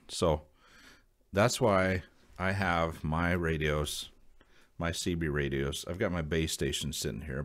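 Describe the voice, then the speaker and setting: A man with an American accent giving a casual, unscripted explanation to viewers in a rambling, folksy, plain-spoken way. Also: extremely low pitch. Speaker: video creator sharing radio hobby advice.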